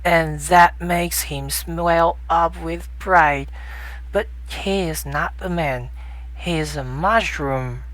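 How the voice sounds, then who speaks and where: A reader acts out a little boy prince from a storybook, pitched high and speaking slowly. He is earnest and indignant, pressing each point with insistent reproach. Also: fairly bright tone, dry recording, low-quality recording. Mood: scornful. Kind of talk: storybook audiobook character voice.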